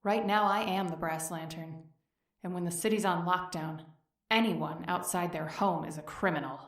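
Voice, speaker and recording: A woman's voice: fairly low pitch, steady tone, normal speed, no echo, studio quality. An actress playing a tough, sardonic woman vigilante in an audio drama, with a cool, commanding manner.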